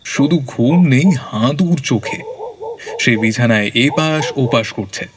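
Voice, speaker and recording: A man's voice: medium pitch, expressive, measured pace, crowd noise. A man narrating a scripted comic audio story as its storyteller.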